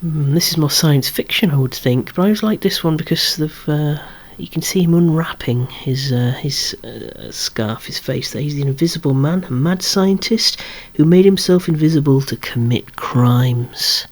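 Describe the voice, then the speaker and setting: A person whispering an ASMR show-and-tell of a collection, musing along in a hushed voice with gently wry asides. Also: mid-range voice, moderate pace, hardly any pauses. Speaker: presenter of a toy collection.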